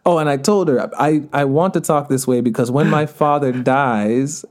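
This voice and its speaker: A man with a Jamaican accent talking as a podcast guest, laughing often, slipping into patois and slowing down to stress key words. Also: mid-range voice. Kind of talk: casual podcast conversation.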